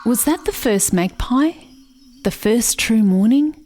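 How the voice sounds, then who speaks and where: An actress in a radio play playing a grieving, watchful country sister, narrating in a reflective, measured way. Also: female voice, fairly high pitch, animated, a little mellow, soft background music. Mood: wistful.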